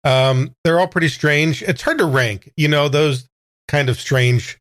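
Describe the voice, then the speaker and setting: A man's voice: a little high, unhurried, a bit bright, no echo, studio quality. A man casually narrating his character's actions as a player in a tabletop roleplaying game.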